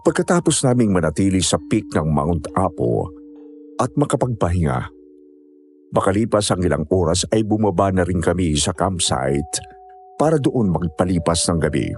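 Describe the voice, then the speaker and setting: A man's voice: low voice, very animated, normal speed, a little mellow, faint music behind. A man with a Filipino accent playing a hiker who narrates an eerie mountain tale in scripted first person. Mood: measured storytelling. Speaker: story narrator.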